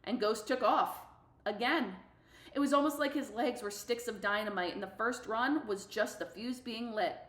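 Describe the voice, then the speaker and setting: Echoey, fairly high-voiced woman reading aloud. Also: normal speed, fairly bright tone. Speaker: book reader for middle schoolers. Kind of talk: expressive novel read-aloud. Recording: echoey room.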